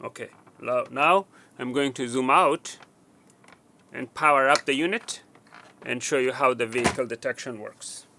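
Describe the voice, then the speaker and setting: A man giving a hands-on wiring walkthrough, halting with fillers and restarts, counting pins aloud as he points. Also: medium pitch, gravelly, noisy background. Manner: halting, with frequent fillers and restarts while working. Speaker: technician demonstrating wiring.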